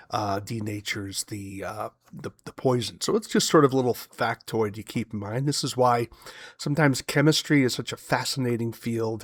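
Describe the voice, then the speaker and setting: A man hosting an educational podcast, hoarse and raspy from a cold, talking casually with many fillers and asides. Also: mid-range voice, no room sound, studio quality. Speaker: podcast host.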